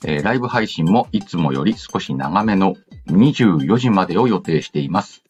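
A man's voice: deep voice, lively intonation, moderate pace, dull audio. A man hosting a casual radio-style show, reading out letters and announcements with playful asides. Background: background music.

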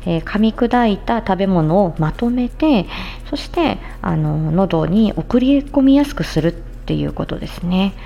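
A woman's voice: medium pitch, lively intonation, a little slow, creaky, warm tone, dull audio. A woman giving an explanatory health talk in plain, conversational words, like a podcast host addressing listeners. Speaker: podcast host, speech-language therapist.

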